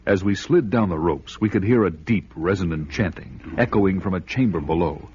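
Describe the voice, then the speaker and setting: A man's voice: sits low, moderate pace, darkish tone, slight background noise, natural voice. An actor playing a bold barbarian hero, narrating his adventure in grandiose, dramatic radio style. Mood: ominous, awed. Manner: grandiose, storytelling. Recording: dull, band-limited radio recording, music behind.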